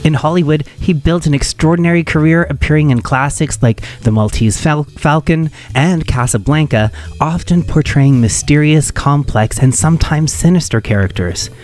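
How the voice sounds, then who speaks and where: A man's voice: a little high, normal speed, few pauses, darkish tone. Male radio host over music. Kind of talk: scripted radio introduction.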